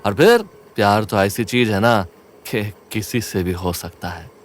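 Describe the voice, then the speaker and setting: A man's voice: on the low side, a little slow, soft background music, natural voice. An actor playing a cheeky, playful young male sidekick in an audio drama, talking in folksy colloquial turns of phrase. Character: cheeky, comic young male sidekick, teasing and food-loving. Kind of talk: Hindi audio drama acting.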